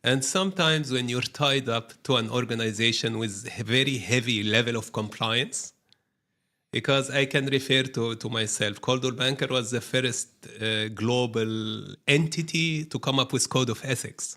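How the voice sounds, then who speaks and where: This is a man from Egypt, appearing as an interview guest on business topics. His voice is mid-pitched. He speaks steadily and often repeats a word while he gathers his thoughts. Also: bright, forceful tone. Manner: measured, repeats words while searching for phrasing. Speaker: guest, brokerage managing director.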